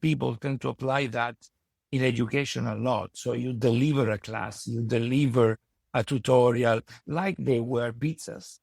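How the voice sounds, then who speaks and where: A man with a strong Italian accent speaking reflectively in an interview, pausing to search for his words. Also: medium pitch, steady tone, gravelly, a little mellow, no room sound, clean studio sound. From Italy. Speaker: podcast guest and university educator. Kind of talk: conversational interview.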